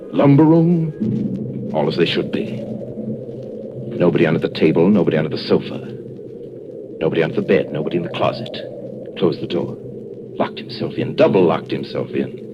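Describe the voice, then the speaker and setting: Low-voiced radio storyteller, music behind. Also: male voice. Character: dramatic, measured male storyteller. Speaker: narrator. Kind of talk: 1930s radio drama narration. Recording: muffled old radio recording, music behind.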